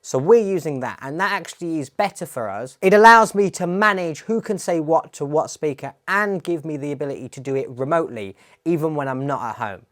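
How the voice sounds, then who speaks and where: A man's voice: on the high side, lively intonation, fairly quick, hardly any pauses, fairly bright tone. A man presenting a tech tutorial, explaining a demo in a plain-spoken, step-by-step way.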